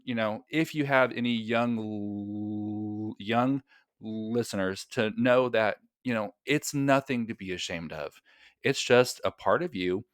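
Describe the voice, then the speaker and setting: Smooth-voiced stuttering man, reflective. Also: on the low side, a bit bright, no echo, clean studio sound. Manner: reflective, light stutter, frequent agreeing backchannels. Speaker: podcast guest, comedian. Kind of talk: casual podcast interview.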